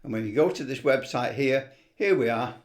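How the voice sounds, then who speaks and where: A man's voice: mid-range voice, lively intonation, normal speed, slight background noise. A middle-aged or older man talking casually and enthusiastically in a rambling, unscripted way as he presents a hobby video. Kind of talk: casual unscripted vlog talk.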